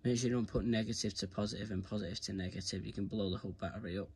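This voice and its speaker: A man's voice: fairly low pitch, steady tone, rapid-fire. A man giving a casual, hands-on tutorial, talking informally to his viewers as he works. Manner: informal, addresses viewers as "guys". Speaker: video creator giving a tutorial. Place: indoors, carpeted room. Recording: clear background noise, handling clicks.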